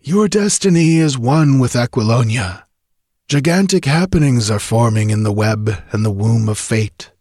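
A man's voice: mid-range voice, darkish tone, no echo, clean studio sound. A man voicing an ancient ghostly sage in a fantasy storybook, with sepulchral tones and a solemn, commanding, oratorical manner. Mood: imperious, solemn. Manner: grandiose, archaic, oratorical delivery. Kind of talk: dramatic audiobook fantasy reading.

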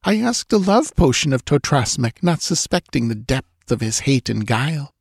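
A man's voice: mid-range voice, big pitch swings, normal speed, creaky, husky, no echo, clean studio sound, pitched above the performer's own voice, full character voice. A man voicing a frightened young woman, a dancing girl who pleads breathlessly. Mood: pleading.

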